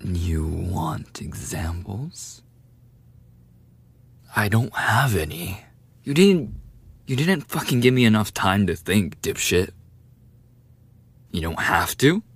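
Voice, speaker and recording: A man's voice: on the low side, very animated, slow pace, creaky, pauses often, slightly rough, full character voice. A man playing a brash, defensive young male bully with a desperate side, swearing and taunting in an audio roleplay. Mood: defensive, angry. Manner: foul-mouthed, taunting, defensive talk to the listener. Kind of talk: boyfriend ASMR audio roleplay acting.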